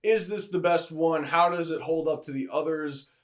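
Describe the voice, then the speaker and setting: Higher-pitched man giving enthusiastic film commentary. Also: fairly even intonation, moderate pace. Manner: enthusiastic, opinionated, conversational. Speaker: movie reviewer. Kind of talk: casual video film review.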